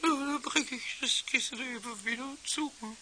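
A man voicing a cheeky, childlike little kobold in a 1970s children's radio play, squeaky and stammering with excitement. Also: moderate pace, crowd noise. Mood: sulky. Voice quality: squeaky, shrill, piping.

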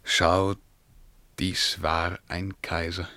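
An actor playing an imperious, brooding emperor in a verse radio drama, declamatory and commanding in a solemn, measured way. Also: male voice, deep voice, level tone, pushed and bright, no echo, heavily acted. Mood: grandiose, exalted. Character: imperious, brooding Byzantine emperor, haughty and troubled.

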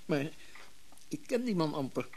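A man's voice: fairly high pitch, very animated, measured pace, a little mellow. An actor playing a cautious, absent-minded wealthy businessman in a radio play, speaking hesitantly on a slightly noisy recording. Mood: wary, distracted. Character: wary, distracted wealthy businessman husband. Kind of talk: Dutch radio play acting.

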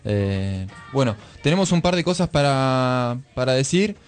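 A man with an Argentine accent co-hosting a radio show in a casual style, with some halting delivery. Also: medium pitch, big pitch swings, clean tone. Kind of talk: casual radio talk and reading aloud. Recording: music playing under the voice. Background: background music.

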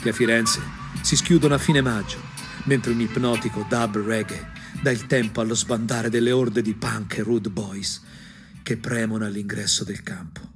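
A man with a Tuscan accent and a husky voice performs an ironic, angry, emphatic theatrical monologue for a punk radio drama. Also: lowish voice, steady tone, normal speed. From Anghiari, Tuscany, Italy. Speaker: stage actor and storyteller. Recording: music under the voice. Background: music.

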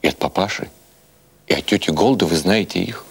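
A man's voice: deep voice, a little fast, vocal fry, husky, full character voice. A man voicing a naive, credulous innkeeper's wife who gushes eager questions in Yiddish-flavoured phrasing, in a storybook reading. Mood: anxious, pitying.

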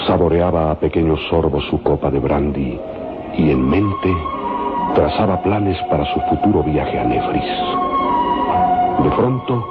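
An actor narrating an adventure serial as a solemn storyteller, dramatic and measured, in old radio drama style. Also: male voice, rumbling low, lively intonation. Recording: muffled old radio recording, loud music.

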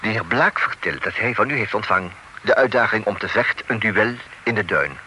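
A man voicing a worldly official who talks with a French accent, dry and knowing, his lowish voice swinging widely and ringing bright. He chuckles and slips French phrases into everything he says. The old radio recording carries a faint hiss. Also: lively intonation, fairly quick, poor audio. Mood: matter-of-fact. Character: worldly French-speaking male official, wry. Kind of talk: radio play acting.

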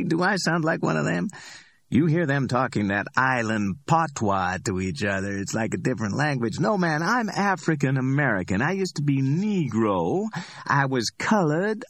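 A man's voice: mid-range voice, sing-song, a bit bright, no echo, pitched above the performer's own voice, heavily acted. A man voicing a cocky middle-aged hustler who teases and drawls his words. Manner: teasing, drawn-out, challenging.

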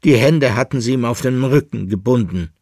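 A man's voice: lowish voice, expressive, a little slow, slightly rough, dry recording, studio quality. A man voicing a distraught fugitive in an audiobook story, wailing and agitated, full of exclamations and pleading questions. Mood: wailing, panicked.

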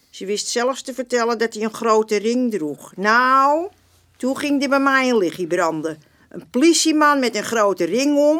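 Feisty old woman, high-pitched. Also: a bit bright. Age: older. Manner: indignant, blunt, colloquial. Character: feisty, proud old neighbourhood woman with a big mouth. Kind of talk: Dutch radio drama acting.

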